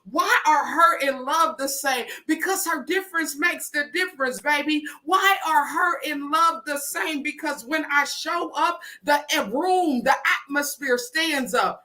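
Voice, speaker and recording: A woman's voice: measured pace, hardly any pauses, sharp tone. A woman giving an inspirational spoken-word talk, with a repetitive, rhythmic preaching cadence and call-outs to the crowd. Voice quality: deep voice. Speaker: motivational speaker.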